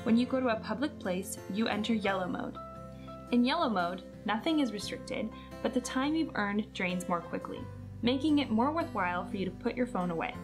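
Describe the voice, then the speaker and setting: A woman's voice: a little high, animated, normal speed. A woman reading scripted narration for a product promo video. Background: guitar music.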